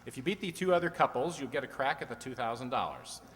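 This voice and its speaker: A man's voice: mid-range voice, fast talker, a bit bright, lightly acted. A man playing a wisecracking, teasing comedian who hosts an old-time radio quiz show, needling guests with mock-obtuse questions. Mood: genial banter. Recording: echoey hall with long reverb.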